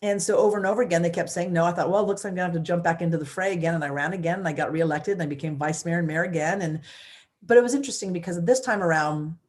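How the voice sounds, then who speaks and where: Woman in her 50s, loud voice, energetic, restarts often, casual interview talk. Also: lowish voice, rapid-fire. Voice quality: loud voice, big personality. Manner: energetic, many restarts and "you know". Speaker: guest, former mayor.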